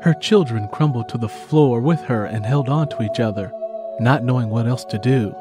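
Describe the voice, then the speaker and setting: A man narrating a scripted dramatic story as an audiobook narrator, solemn and measured. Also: mid-range voice, over music.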